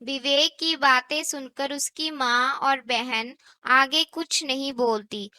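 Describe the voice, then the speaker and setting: A female storyteller narrates a cartoon moral tale from a script. Her voice is very high and bright, taken at a slow pace.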